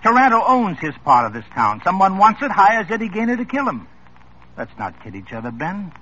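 An actor playing a blunt, cynical police detective who talks in a brisk, lecturing way in an old radio crime drama. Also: male voice, high voice, huge pitch swings, pushed and bright, slightly rough, exaggerated voice. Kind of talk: 1950s radio drama acting. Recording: dull 1950s radio drama recording.

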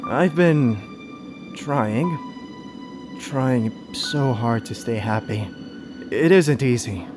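An actor playing a withdrawn, nervous male survivor in a radio drama, hesitant and halting. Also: mid-range voice, animated, music in the background. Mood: fragile, guarded. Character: withdrawn, wounded man, nervous abuse survivor.

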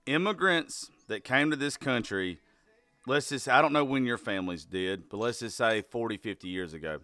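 Forceful, bright-voiced man. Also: medium pitch, expressive, moderate pace, dry recording, soft background music. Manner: blunt, forceful, heavy swearing. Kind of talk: casual opinion chat.

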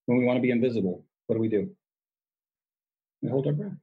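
A man's voice: medium pitch, fast talker, phone-quality sound. A man speaking as an interview guest, explaining in an emphatic way and often repeating his key words.

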